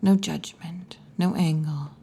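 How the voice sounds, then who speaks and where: Hushed, low-voiced wolf girl bartender, acted by a woman. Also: fairly even intonation, exaggerated voice. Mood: intimate, probing. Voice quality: breathy, mostly whispered or half-whispered. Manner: slow, hushed, teasing, with long pauses. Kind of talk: ASMR roleplay, intimate scripted monologue. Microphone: close ASMR microphone.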